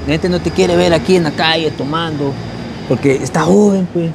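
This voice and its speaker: A man's voice: fairly high pitch, moderate pace, creaky. A young man with a Salvadoran accent, interviewing in a casual, earnest and coaxing way. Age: young adult. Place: outdoors on a city street at night. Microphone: clip-on lavalier. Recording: busy street noise behind voice.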